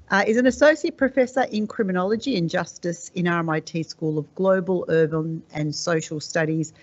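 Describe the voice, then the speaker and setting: A woman's voice: medium pitch, a little hiss or hum. A woman facilitating a panel, reading introductions and asking questions in a friendly way. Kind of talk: moderating a panel discussion. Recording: muffled lo-fi sound.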